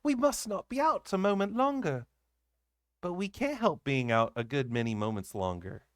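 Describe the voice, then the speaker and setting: A shrill, panicked young nurse, as a man reading a storybook puts her on. The voice leaps high and swings wildly, breathless with fright, in a dry, close recording. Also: lively intonation, normal speed, a bit bright, dry recording. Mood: urgent.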